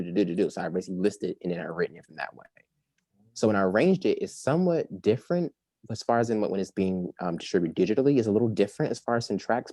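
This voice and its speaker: A man talking casually about his music in an interview, rambling and animated, his voice a little raspy. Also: sits low, no room sound. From Maryland. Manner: rambling, animated, breaks into song. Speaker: musician guest.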